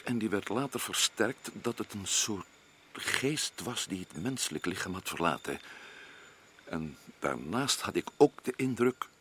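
A man's voice: fairly low pitch, a bit bright, slightly rough, full character voice. An actor playing a grim, guarded male adventurer recounting events in a radio drama. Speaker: narrator and lead character Paul.

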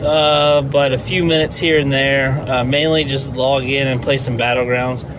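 A man's voice: mid-range voice, flat delivery, normal speed, background noise. Nasal, foul-mouthed podcasting man. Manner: profane and rambling. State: stuffy from allergies. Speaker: podcast host. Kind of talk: casual solo podcast rant.

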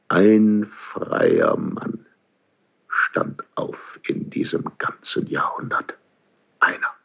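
An actor playing a proud, embittered ageing king in a classical German verse radio drama, slow and halting with a gravelly, husky voice. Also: very deep voice, exaggerated voice. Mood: bitter longing. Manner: slow, halting, brooding, theatrical declamation. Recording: slightly dull archival radio drama recording.

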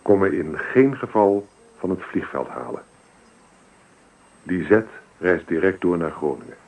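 A remorseful husband in a radio play, with a low voice and slow delivery. He confesses in a measured, formal manner, as if reading a letter. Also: animated, a little mellow, low-quality recording. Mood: sober, contrite. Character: remorseful unfaithful husband writing home to his wife. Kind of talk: letter read as radio drama monologue.

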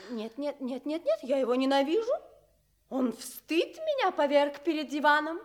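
An actress playing a proud, stubborn, imperious young princess in a theatrical fairy-tale radio play, capricious and emphatic in delivery. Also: female voice, sits high, big pitch swings, moderate pace. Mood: agitated, defiant. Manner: capricious, emphatic, insistently repeating, agitated.

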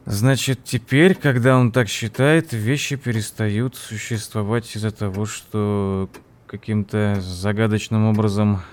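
An actor playing a thoughtful nephew who narrates an audio drama in an expressive, measured way. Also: male voice, lowish voice, natural voice. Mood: puzzled, concerned. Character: thoughtful nephew recounting his uncle's strange tale. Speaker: narrator and character. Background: music.

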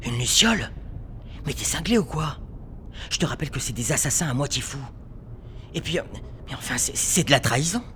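An actor playing a naive, dreamy young conscript with a casual, slangy way of talking in an audio drama. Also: male voice, medium pitch, expressive, fairly quick, pauses often, pushed and bright, slight background noise. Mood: incredulous.

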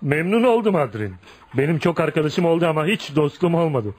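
A man's voice: on the high side, lively intonation, fairly quick, a little mellow, husky. An actor playing a feared, ugly but kind-hearted tough man, blunt and probing, in a Turkish radio play. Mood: earnest, friendly. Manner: blunt, probing, folksy, punctuated with "ha?". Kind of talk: Turkish radio theater acting.

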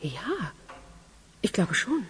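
A woman playing a composed, probing police investigator in a German radio crime drama, questioning calmly and methodically. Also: mid-range voice, extremely slow, husky, full character voice. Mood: pensive, firm.

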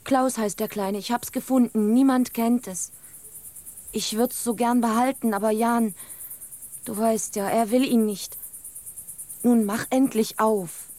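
An actress plays a fisherman's wife in a radio drama, tender and anxious. Her voice sits fairly high and she speaks slowly, with a motherly, pleading manner. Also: fairly even intonation, dark tone, no room sound, natural voice. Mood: urgent, pleading. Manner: pleading, motherly, breathless urgency when alarmed. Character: tender, worried fisherman's wife protecting a foundling. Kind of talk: German radio drama acting.

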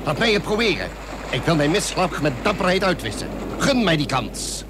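A man's voice: fairly high pitch, sing-song, moderate pace. A man playing a brave, eager naval captain in a historical radio drama, fervent and emphatic. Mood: pleading. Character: eager, brave frigate captain, begging to redeem himself. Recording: noisy radio recording with harbour-like ambience.

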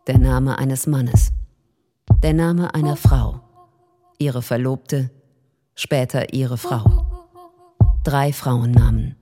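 A woman delivering a scripted performance monologue in a measured, deliberate, declarative way. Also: a bass voice, dark tone.

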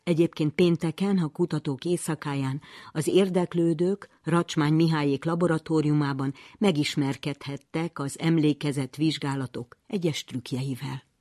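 A woman's voice: sits low, fairly even intonation, mellow, no echo, studio quality. A woman hosting a science programme, presenting and asking questions as an interviewer. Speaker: host, interviewer.

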